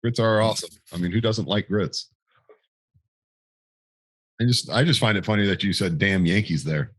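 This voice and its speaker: A man's voice: on the low side, normal speed, breathy edge, no room sound. A man chatting casually as the co-host of a podcast. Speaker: podcast co-host, Marine veteran. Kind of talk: casual chat.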